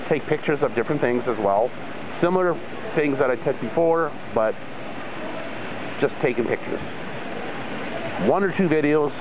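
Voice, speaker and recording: A middle-aged man chatting casually by an outdoor resort pool, filming himself on a phone held at arm's length, rambling and repeating himself with many fillers. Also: mid-range voice. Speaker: travel vlogger. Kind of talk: casual rambling vlog monologue. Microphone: phone camera held low at arm's length. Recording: tinny phone audio, outdoor noise.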